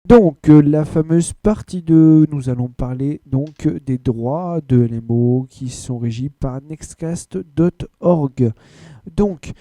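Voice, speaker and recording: Very mellow-voiced man hosting a podcast. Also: medium pitch, no room sound. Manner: blunt, frank, loose with filler words. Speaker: podcast host. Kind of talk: casual news rundown and rant.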